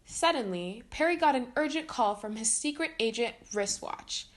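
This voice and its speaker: A woman's voice: fairly high pitch, expressive, normal speed. A woman reading a children's picture book aloud as a storytime reader.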